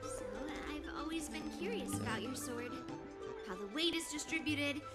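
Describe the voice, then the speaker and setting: A woman's voice: high voice, moderate pace, close to an everyday voice. A woman voicing a confident, blunt young swordswoman in a video game, speaking in a self-assured, matter-of-fact way. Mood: matter-of-fact, curious. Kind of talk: video game character dialogue. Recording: game music behind the voice. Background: game music.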